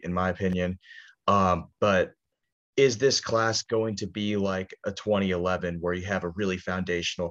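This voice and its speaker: A young man with a deep voice lays out his point earnestly and steadily, pausing on "um" now and then. The call audio is dull and lo-fi. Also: fairly bright tone. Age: young adult. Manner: earnest, measured, with "um" fillers. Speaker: podcast panelist. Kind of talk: casual chat.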